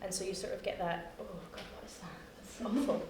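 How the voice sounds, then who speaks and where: A young woman with a Scottish accent, a playwright chatting in a post-show discussion, self-mocking and quick to laugh. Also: mid-range voice. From Scotland. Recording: echoey room, distant mic, noisy.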